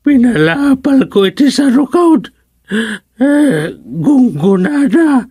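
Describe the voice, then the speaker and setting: An actor playing a senile, stubborn elderly grandparent in an Ilocano radio comedy, muttering in drawn-out, hesitant phrases with an Ilocano accent. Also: high-pitched, big pitch swings, dark tone, slightly rough, no room sound, studio quality, heavily acted. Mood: stubborn, boastful. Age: older. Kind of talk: Ilocano radio drama acting.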